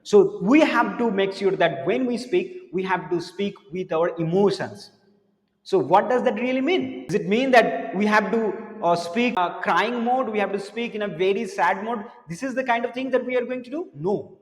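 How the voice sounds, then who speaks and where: Earnest male English teacher, high voice, echoey reverberant room. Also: moderate pace. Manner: earnest, repeats words, drops voice low for serious points. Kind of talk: motivational lesson to camera. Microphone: camera mic, speaking to camera.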